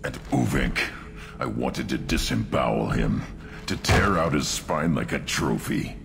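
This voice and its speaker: An actor playing a young, proud, bloodthirsty alien warrior brute in a video game, blunt and slow, with a deep growling voice. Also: male voice, lively intonation, a bit bright, slight background noise, full character voice. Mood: eager, bloodthirsty. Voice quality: deep, very gravelly. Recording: game music behind the voice. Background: music.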